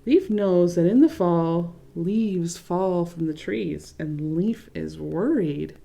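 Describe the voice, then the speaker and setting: A young adult woman reading a picture book aloud to children, gently commenting and asking them questions. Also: on the low side, slow pace, creaky. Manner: gentle, asks listeners questions, adds comments. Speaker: children's story reader.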